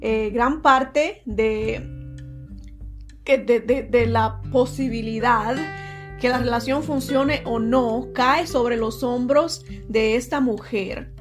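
Woman podcast host, teasing and chatty, slightly high voice. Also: slow pace, over music. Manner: chatty and teasing, calls listeners "señores" and "mi amor". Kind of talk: casual talk to listeners.

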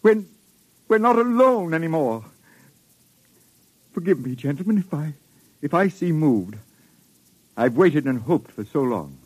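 An actor playing a lonely old male survivor, husky and halting with emotion, in an old-time radio drama. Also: a little high, very animated, darkish tone, dry recording, full character voice, rough recording. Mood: overcome, disbelieving. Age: older.

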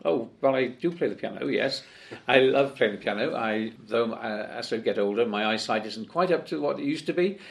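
An older man with a gravelly edge to his voice talks easily as an interview guest. He speaks at a middling pitch, circling back with fillers and restarts as he goes. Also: expressive, moderate pace. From Wales. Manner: chatty, with many fillers and restarts. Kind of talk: conversational interview. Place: office in Parliament.

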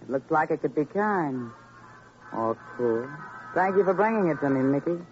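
A languid, commanding seductress, whose teasing lines swing widely in pitch. Faint music plays on the dull vintage radio drama recording. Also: female voice, animated, normal speed, a little mellow. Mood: seductive, teasing. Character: languid, seductive, possessive married woman, femme fatale. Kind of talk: old-time radio crime drama acting.

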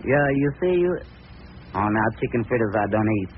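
A man playing a frightened, folksy farmhand with a Southern US accent and an excitable manner, in 1940s radio style. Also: medium pitch, brisk, slight background noise, lightly acted. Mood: puzzled. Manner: excitable, folksy, comically exaggerated. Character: frightened, folksy farmhand driving a hay wagon. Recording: tinny old radio recording, music.

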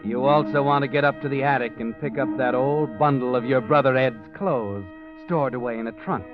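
An actor playing a grave second-person narrator in an old radio drama, over music, in a muffled recording. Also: male voice, mid-range voice, natural voice. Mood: ominous. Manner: measured, ominous storyteller addressing the hero. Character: grave male narrator speaking to the hero.

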